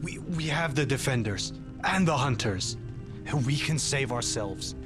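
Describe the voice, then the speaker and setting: Guy playing a defiant young tribesman, emotional, slow delivery, music behind him, space audio drama. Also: medium pitch, expressive, lightly acted. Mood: desperate.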